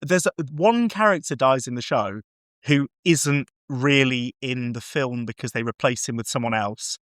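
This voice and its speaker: A man chatting casually about film in an animated, conversational way. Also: mid-range voice, moderate pace, no echo, clean studio sound. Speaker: podcast host.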